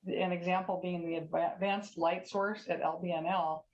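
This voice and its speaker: A woman's voice: fairly low pitch, steady tone, moderate pace, highs cut off. A woman scientist answering panel questions over a video call in a composed, articulate way.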